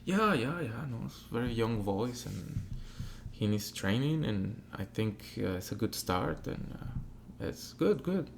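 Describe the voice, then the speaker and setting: A man's voice: medium pitch, lively intonation, a little slow, gravelly, a little hiss or hum. A man voicing a male opera colleague who gives a hedging, repetitive verdict on a young singer. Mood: appraising.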